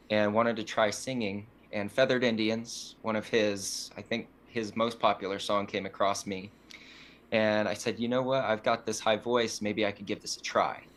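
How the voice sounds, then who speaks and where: A man's voice: fairly low pitch, steady tone, normal speed, a bit bright. A young man, a musician guest on a podcast, telling stories in an easygoing, self-deprecating way. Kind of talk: casual interview chat.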